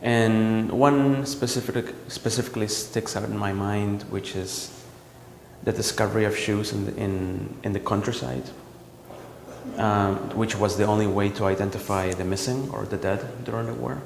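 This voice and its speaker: A man from El Salvador, a visual artist, talking about his work in a gallery in a reflective, halting way with many ums and restarts. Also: fairly low pitch, fairly even intonation, creaky, slight background noise. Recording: roomy gallery echo with long reverb.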